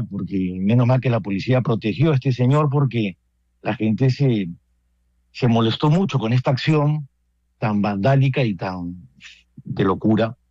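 Peruvian-accented male priest, radio guest, thoughtful, halting delivery, dull dry line. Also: medium pitch, warm tone, no echo. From Peru. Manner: halting, thoughtful, many restarts and fillers.

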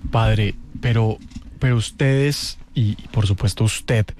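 Male radio co-host, low mellow voice, deliberate hesitant questions. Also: slight background noise.

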